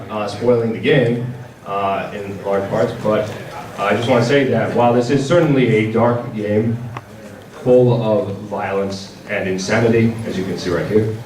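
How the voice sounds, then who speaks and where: A man giving a product presentation at a convention panel, speaking in a halting, hesitant way. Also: on the low side. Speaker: presenter for a visual novel publisher. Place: large indoor convention panel room. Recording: roomy, distant convention hall with audience. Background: audience crowd sounds.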